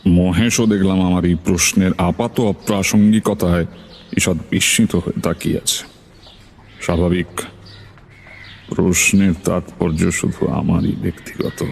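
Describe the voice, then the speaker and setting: A man's voice: deep voice, a little mellow, slight background noise. A man narrating a suspense story in the first person, as a scripted dramatized reading.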